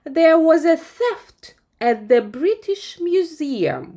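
A woman's voice: very high-pitched, very animated, slow pace, mellow, pitched above the performer's own voice, full character voice. A woman voicing a smug, gossipy neighbour girl in a children's audio story, boastful and emphatic. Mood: proud.

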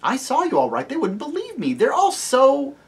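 A reader voicing an earnest, eager young girl who speaks in a high, breathless, pleading way. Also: wildly swooping pitch, moderate pace, breathy edge, exaggerated voice.

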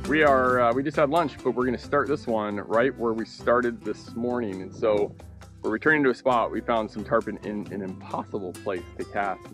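A man in his thirties hosting a fishing vlog from a small boat outdoors, talking casually into a close action camera with fillers and easy laughs. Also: mid-range voice. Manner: relaxed, chatty, with fillers and quick laughs. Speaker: fly fishing guide and host. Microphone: action camera held close. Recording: outdoor camera audio with music bed. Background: background music.

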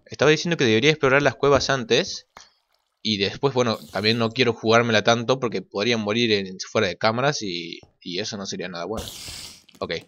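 Man from Argentina, lowish voice, casual gaming commentary, thinking aloud, noisy recording with clicks. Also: fairly even intonation, a little fast, a bit bright. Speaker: gaming video creator. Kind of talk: casual let's play commentary. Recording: muffled lo-fi mic, clear noise and clicks.

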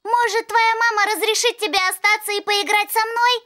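An actor voicing an eager, cheerful little elf boy in a children's cartoon dub, with an excitable delivery. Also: very high voice, fairly quick. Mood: friendly. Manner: excitable, exclamatory.

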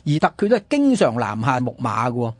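A man with a Hong Kong Cantonese accent telling history conversationally as a radio host, animated and fond of rhetorical questions. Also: medium pitch, fairly quick, no echo. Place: radio studio.

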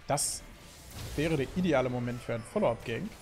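A man in his thirties from Germany, commenting on his own gameplay in an excitable way, full of fillers, at a desk at home. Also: medium pitch, a little fast, soft background music. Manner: lively, excitable, talks through play with fillers. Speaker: gaming YouTuber. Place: home streaming setup, indoors.

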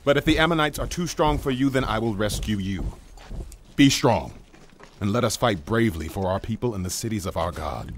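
Man acting Joab, urgent low-voiced general. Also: lively intonation, a little fast, slight background noise, close to an everyday voice. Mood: rallying, determined. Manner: urgent, commanding. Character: Joab, bold resolute male battlefield general. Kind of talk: dramatized scripture audiobook acting.

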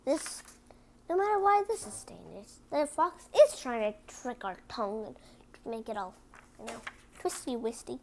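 A read-aloud presenter reading a picture book in an animated way, with casual asides to viewers and some stumbling over the words. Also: medium pitch, normal speed, gravelly, slight background noise. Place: indoors at home, book on a cloth.

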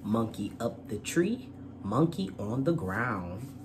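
A man's voice: mid-range voice, very animated, slow, noisy background. A man presenting and reading aloud as host of a children's storytime, with a courteous manner. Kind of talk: storytime read-aloud and channel chat.

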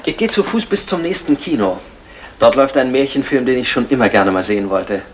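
An actor playing a dry, sarcastic, weary husband in a 1960s German radio comedy. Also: male voice, mid-range voice, a little fast, background noise, close to an everyday voice. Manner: deadpan, sardonic.

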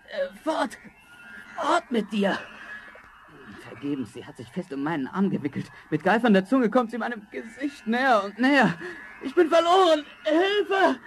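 A man playing a naive, excitable, ambitious young charcoal burner, speaking eagerly and theatrically in a vintage fairy-tale radio play. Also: very high-pitched, slight background noise, full character voice. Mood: terrified.

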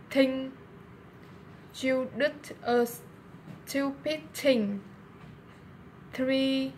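A young woman with a Vietnamese accent practices English words very slowly, one at a time, repeating the hard sounds. Her voice sits somewhat high and is caught by a phone held close in a small bathroom with a faint hiss behind her. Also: rough recording. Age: young adult. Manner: slow, word-by-word practice with stumbles. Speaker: language student. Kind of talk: reading pronunciation homework aloud. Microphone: webcam or phone at arm's length.

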